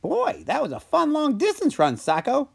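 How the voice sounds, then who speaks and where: An eager young male storybook character, pitched far up high. He is cheerful and excitable, and his voice bounces around in lively swoops. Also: moderate pace, vocal fry, heavily acted.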